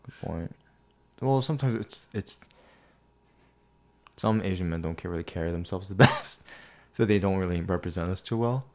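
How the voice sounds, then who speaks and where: A sleepy young man hosting a podcast, thinking before he speaks, with long pauses and restarted words. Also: low-pitched, darkish tone. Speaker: podcast host. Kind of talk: casual podcast chat.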